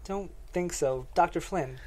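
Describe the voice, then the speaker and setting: A woman playing a wry, deadpan, flippant psychiatrist in a screenplay table read. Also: lowish voice, unhurried. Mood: guarded. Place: podcast studio.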